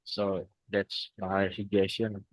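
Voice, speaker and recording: A man's voice: deep voice, flat delivery, slow pace, no room sound. A young man from North Sumatra with an Indonesian accent, answering in class hesitantly with many restarts. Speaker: student. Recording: dry, dull lo-fi sound.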